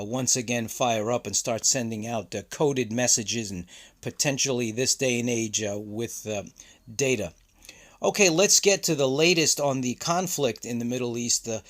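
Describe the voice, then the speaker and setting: A man hosting a ham radio channel, explaining in a casual, halting way with many filler sounds. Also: mid-range voice.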